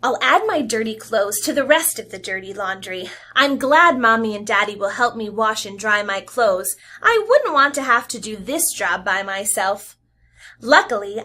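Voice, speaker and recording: A young adult woman reading a picture book aloud to young children as their teacher, expressive and animated, with sound effects and pauses to explain. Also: fairly high pitch.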